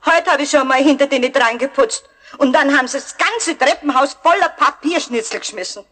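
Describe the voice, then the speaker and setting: A Bavarian caretaker woman with a high voice. She scolds and complains with wounded self-pity, in the manner of an old children's radio play. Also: a little fast, fairly bright tone. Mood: plaintive. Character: aggrieved, scolding Bavarian caretaker woman, middle-aged or older.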